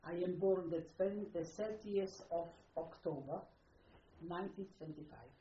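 An older woman from Poland with a Polish accent, speaking in an oral history interview. Her phrasing is halting and non-native, and she repeats words as she searches for them. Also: on the low side, slight background noise. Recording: muffled roomy archival tape.

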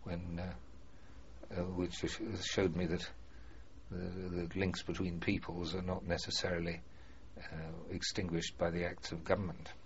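An older man being interviewed about his past, speaking formally and hesitantly with many pauses and fillers. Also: low voice, completely flat delivery. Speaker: former prisoner of war, interviewee. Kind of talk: oral history interview. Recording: muffled archive recording with faint hiss.

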